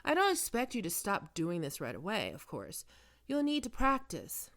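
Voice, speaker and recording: Female psychiatrist, played by a woman, ASMR roleplay. Medium pitch, measured clinical delivery, probing and quietly controlling. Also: huge pitch swings. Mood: probing, insinuating. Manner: measured clinical questioning with deliberate pauses. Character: female psychiatrist, probing, smoothly manipulative and coercive. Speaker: voice actor performing a roleplay.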